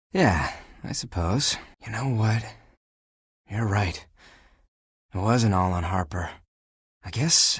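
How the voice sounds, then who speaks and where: A living heap of laundry in a dating game, a male flirt with a husky, rough-edged voice. He is theatrical and emotive, all swagger over a sensitive core. The sound is close and dry, with no room around it. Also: lowish voice, no echo. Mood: guarded, sheepish. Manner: flirtatious, theatrical, emotive, swinging from guarded to grandiose. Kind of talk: dating game character voice acting.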